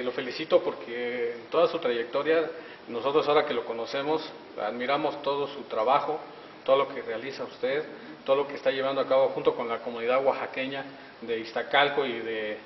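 A man's voice: mid-range voice, steady tone, brisk, slight background noise. A middle-aged male reporter interviewing guests on community TV, effusive and congratulatory, with many fillers, holding a handheld mic indoors. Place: wood-paneled auditorium hallway, indoors. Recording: echoey hall from a distance, dull sound.

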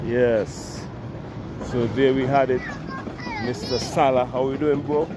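A laid-back Caribbean man in his forties talks slowly into a handheld camera as he strolls through a busy open-air market. His voice sits at an ordinary pitch. Clear street noise and passing traffic fill the background. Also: fairly even intonation. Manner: slow, easygoing, friendly greetings to passersby. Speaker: travel vlogger. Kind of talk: casual walking vlog narration. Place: busy outdoor village market. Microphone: selfie camera at arm's length.

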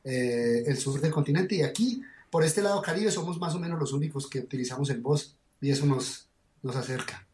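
A man's voice: a little high, a little fast, darkish tone. A young man from Colombia with a Medellín accent, speaking expressively and laughing easily as a guest over a video call. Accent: Colombian Spanish, Medellín. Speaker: guest poet and performer.

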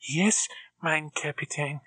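A man voicing a leering, sadistic henchman who speaks slowly. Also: fairly high pitch, pushed and bright, husky, dry recording, pitched above the performer's own voice, full character voice.

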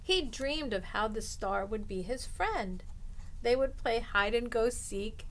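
A middle-aged woman with a high voice reads a children's storybook expressively. She lingers on phrase endings for young listeners. Clear background noise sits behind her, as on a webcam recording in a small room. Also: expressive, takes it slowly, rough recording. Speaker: storytime host reading a picture book to children. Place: small indoor room lined with bookshelves.

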